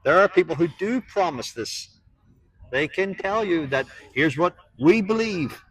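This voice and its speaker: A man's voice: high-pitched, animated, a little slow, a bit bright, breathy edge, low-quality recording. A man preaching to passers-by on a street, earnest and pressing them with questions. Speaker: street evangelist. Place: outdoors on a town street.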